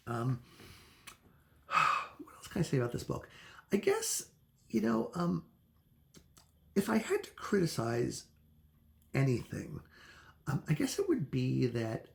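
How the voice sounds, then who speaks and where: A casual, opinionated book reviewer in a solo monologue. The voice sits at a medium pitch with noticeable fry and very lively intonation. The delivery is chatty and unscripted, pausing and rephrasing as thoughts form. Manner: chatty, opinionated, thinking aloud with hesitant phrasing.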